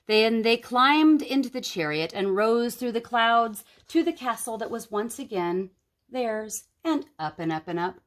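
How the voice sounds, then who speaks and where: A woman tells a children's story in a medium-pitched voice. She reads expressively, with dramatic emphasis on the words, as a storyteller for young listeners. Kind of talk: expressive picture-book read-aloud.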